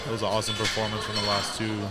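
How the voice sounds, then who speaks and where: Low-voiced young man commentating on sport. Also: monotone, rapid-fire, over loud music. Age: 20s. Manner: explanatory, technical. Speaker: strength competition commentator and coach.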